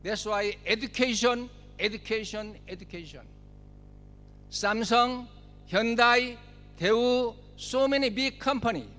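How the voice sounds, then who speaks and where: A man's voice: very high-pitched, a little slow, sharp tone, big-room sound. A man in his seventies from South Korea, speaking English with a Korean accent and giving a speech at a forum in short, emphatic phrases, repeating key words. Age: 70s. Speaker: guest speaker.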